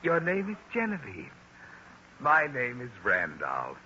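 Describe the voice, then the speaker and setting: A man's voice: medium pitch, wildly swooping pitch, takes it slowly, a crowd in the background. A man playing a lonely, courtly bachelor clerk in a 1940s radio sitcom, coy and full of mournful modesty. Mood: coy, flirting. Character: coy, lonely, self-pitying middle-aged bachelor clerk. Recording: noisy, dull old 1940s radio recording.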